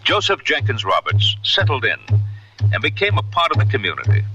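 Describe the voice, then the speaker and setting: A man's voice: medium pitch, wildly swooping pitch, brisk. A man delivering scripted narration for a radio drama. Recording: muffled, distant, music behind.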